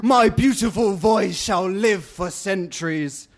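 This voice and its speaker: A man's voice: high voice, slow, faint music behind. A man voicing a huge, boastful, menacing female duck tyrant with a coarse, scratchy voice and a grandiose, commanding delivery in a storybook tale. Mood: imperious, threatening. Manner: grandiose, commanding rhymed speech.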